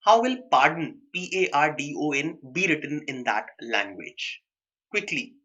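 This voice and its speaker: A young man with an Indian accent teaching a live online exam-prep class, switching between English and quick Hindi asides and answering students by name. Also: a little high, animated, sharp tone.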